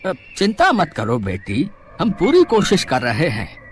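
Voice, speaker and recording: A man's voice: on the high side, wildly swooping pitch, measured pace. A man voicing a stern but fatherly village headman in a cartoon story, emphatic and authoritative. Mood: earnest.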